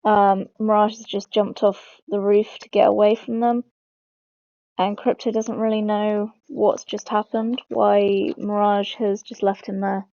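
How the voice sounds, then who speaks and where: A woman's voice: medium pitch, flat delivery, measured pace, gravelly, mellow, no room sound. A woman hosting a game lore video, explaining it informally as she goes. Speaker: host of a game lore channel. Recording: dull lo-fi close mic.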